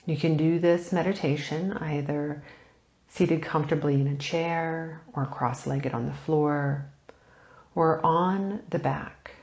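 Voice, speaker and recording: Woman doing guided meditation, really slow n soothing, breathy phrase ends, roomy echo on it. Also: sits low, fairly even intonation, muffled recording. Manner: soft, soothing, unhurried instruction with long pauses. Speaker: meditation teacher.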